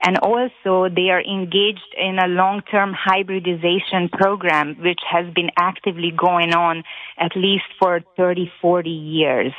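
A woman's voice: on the low side, fairly even intonation, measured pace, no room sound. A woman with a Hungarian accent and non-native grammar, calling a radio show over the phone to give an earnest, emphatic personal account. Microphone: telephone. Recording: tinny narrow phone line.

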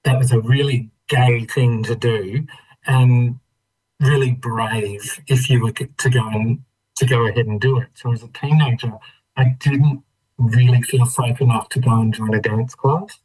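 An Australian man in his fifties from Melbourne's western suburbs, telling his own story in a reflective, thoughtful, halting way as an interview guest. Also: mid-range voice, fairly even intonation, darkish tone, breathy edge, poor audio. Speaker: interview guest, trained dancer. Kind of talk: reflective personal storytelling in conversation.

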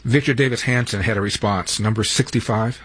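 A man hosting a radio talk show, taking calls and arguing his points in a measured way. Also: lowish voice, a bit bright, slightly rough, no echo, low-quality recording. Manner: measured, argumentative, repeats himself when interrupted.

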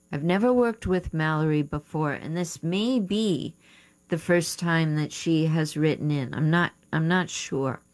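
Female radio coach, low and slow, muses aloud and second-guesses the details as she answers letters. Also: darkish tone. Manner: thinking aloud, unsure of terms, self-correcting. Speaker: writing coach and radio host. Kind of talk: advice show talk, reading listener letters.

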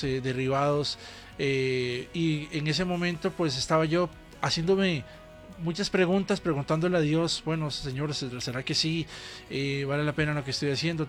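Earnest Costa Rican man, higher voice. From Costa Rica. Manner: earnest, reflective, halting with pauses. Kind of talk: interview answers.

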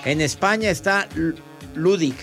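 A man's voice: a little high, unhurried. Playful man hosting radio over music. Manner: jokey, teasing, sings bits. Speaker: radio show host. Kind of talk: playful radio talk with listener greetings.

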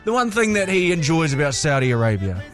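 A man's voice: on the high side, sing-song, fast talker, faint music behind. A man co-hosting a breakfast radio show, teasing and jokey. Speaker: radio co-host.

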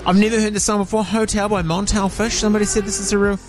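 A man's voice: sits high, racing. A man, hosting a radio show with cheeky, giggly banter. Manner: cheeky, giggly, animated storytelling. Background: music playing.

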